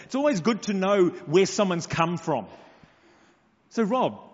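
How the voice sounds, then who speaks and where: A man's voice: sits high, sing-song, unhurried. A man, a church leader casually interviewing a guest in a jokey, teasing way. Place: church hall. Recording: echoey hall, dull lo-fi sound.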